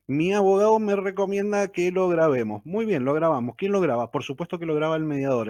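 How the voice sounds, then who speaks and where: Rioplatense man presenting as a mediator, higher voice, repeats himself, dry close recording. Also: a little mellow, no room sound. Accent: Rioplatense Spanish. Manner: repeats key phrases, frequent "¿sí?" check-ins. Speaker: mediator giving a talk. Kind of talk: lecture-style presentation.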